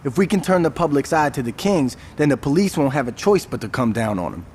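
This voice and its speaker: A man's voice: medium pitch, sing-song, brisk, a little mellow, a little hiss or hum, lightly acted. An actor playing a calculating, level-headed male gang strategist who lays out plans firmly and with exasperation. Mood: exasperated. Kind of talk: video game cutscene acting.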